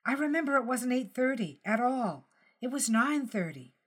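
A woman's voice: fairly high pitch, very animated, moderate pace, breathy edge, voice pushed up. Woman reading as a small, anxious elderly wife. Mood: earnest recollection. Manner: hesitant, earnest, explaining. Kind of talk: audiobook character dialogue.

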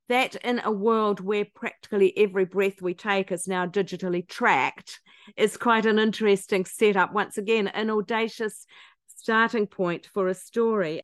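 Female interviewer, conversational, builds long questions, medium pitch. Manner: conversational, setting up questions at length. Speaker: podcast host.

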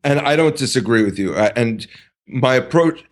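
A man speaking as a podcast guest, talking in a deliberate, halting way with many pauses and restarts. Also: mid-range voice, animated. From United States. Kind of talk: casual conversational interview.

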